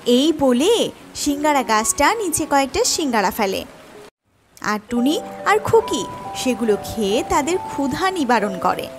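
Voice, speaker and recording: Very high-voiced woman narrating fairy tales. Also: sing-song, a little slow, music in the background. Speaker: storyteller narrator. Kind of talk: scripted children's fairy-tale narration.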